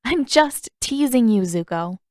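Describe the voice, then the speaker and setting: A woman voicing a confident, teasing teenage girl in an audiobook-style story, with a brisk, playfully sarcastic manner. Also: a little high, lively intonation, darkish tone, no echo, studio quality, pitched above the performer's own voice. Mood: teasing, light.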